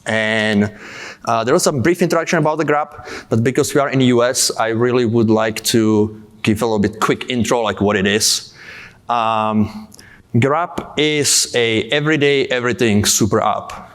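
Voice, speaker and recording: A man's voice: medium pitch, moderate pace. A man from the Czech Republic in his thirties, giving a conference tech talk in a casual way with many fillers, while he has a cold. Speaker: conference speaker.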